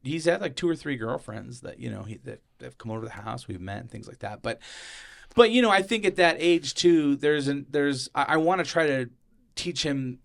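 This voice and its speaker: A young man with what he calls a high voice, chatting casually on a podcast with lots of fillers. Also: lively intonation, normal speed, creaky, a bit bright. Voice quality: self-described high voice. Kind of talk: casual conversation.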